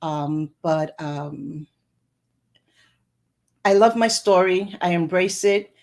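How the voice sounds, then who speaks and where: Low-voiced soft-spoken woman. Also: takes it slowly. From United States, Puerto Rican parents. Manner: soft-spoken, many ums. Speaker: guest instructor. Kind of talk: casual personal story.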